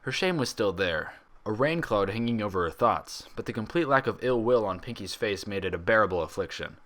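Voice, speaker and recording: A man reading fan fiction aloud as narrator, with dramatic, expressive scripted delivery. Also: lowish voice, brisk.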